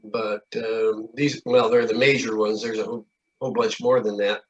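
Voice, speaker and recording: An American man informally walking through a shared screen on a video call, halting and folksy as he goes. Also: lowish voice, level tone. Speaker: club meeting co-presenter. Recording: dull video-call audio.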